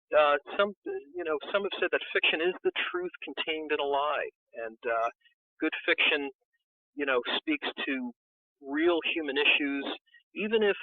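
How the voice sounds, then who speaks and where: A man's voice: a little high, no echo. A man giving conversational answers as an interview guest over a narrow, tinny connection. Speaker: interview guest, publisher and podcaster. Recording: tinny, narrow phone-like line.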